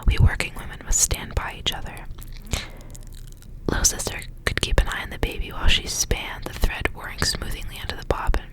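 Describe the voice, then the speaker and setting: A woman whispering softly as she reads aloud in an ASMR style. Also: a little fast, poor audio. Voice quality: soft unvoiced whisper. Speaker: ASMR reader and channel host. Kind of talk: whispered ASMR storybook reading with casual asides.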